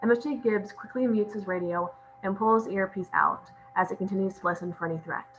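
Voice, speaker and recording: A woman's voice: mid-range voice, a little fast, a bit bright, faint music behind, poor audio. A woman reading stage directions as scripted narration at a table read.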